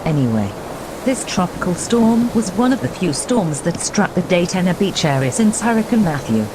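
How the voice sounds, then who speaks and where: A woman playing a prim, short-tempered storm reporter in an amateur audio drama. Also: fairly low pitch. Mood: composed, curt. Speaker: weather reporter. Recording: steady rushing storm noise.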